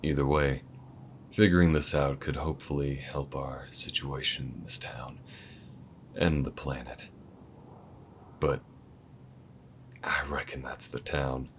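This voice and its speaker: A calm, weathered alien gunslinger, voiced by a man with an extremely deep, rumbling voice that often drops to a soft near-whisper. He talks in an easygoing, laid-back drawl. A faint low rumble sits under the recording. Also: takes it slowly, pauses often, heavily acted. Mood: steady, easygoing. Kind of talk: audio roleplay acting.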